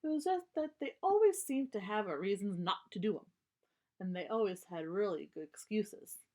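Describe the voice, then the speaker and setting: Lady doing kids story time, pitch goes up and down a lot, stops to explain words simply. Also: animated, normal speed, mellow. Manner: explains simply to young children, emphatic. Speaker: children's storybook reader. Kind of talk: expressive read-aloud with simple asides explaining words.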